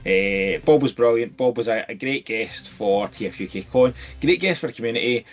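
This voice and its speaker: A man's voice: mid-range voice, animated, moderate pace, lo-fi sound. A Scottish man co-hosting a casual chat from home, jokey and rambling. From Scotland. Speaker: co-host.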